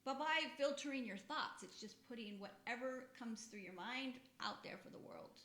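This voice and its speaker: A woman in her fifties co-hosts a casual podcast in an articulate, reflective way. Her voice is somewhat high and quite bright, recorded in a roomy space with noticeable echo. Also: lively intonation, normal speed. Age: 50s. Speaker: podcast host. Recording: roomy, echoey room sound.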